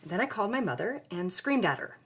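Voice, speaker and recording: A woman's voice: mid-range voice, sing-song, a little fast, husky. An actress playing a headstrong, impulsive young woman, speaking candidly and expressively in a podcast-style audio drama. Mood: confiding. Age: young adult.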